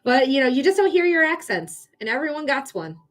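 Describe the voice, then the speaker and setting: Bubbly michigan lady chatting on a live stream, high voice, giggles a lot. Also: sing-song, fairly quick. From Michigan, United States. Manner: chatty and bubbly, laughs often. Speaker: psychic reader hosting a livestream. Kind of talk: casual livestream chat.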